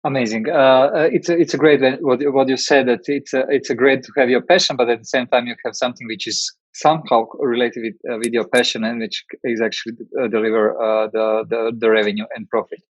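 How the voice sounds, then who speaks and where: A man hosting a conversational interview, speaking haltingly as he searches for his words. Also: mid-range voice, steady tone, no room sound, highs cut off. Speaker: interviewer, host.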